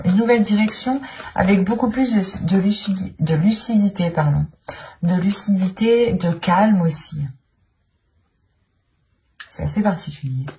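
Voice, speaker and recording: A woman's voice: lowish voice, mellow, slight background noise. A woman giving an improvised tarot reading as a channel host, speaking in a searching way and often repeating her phrases.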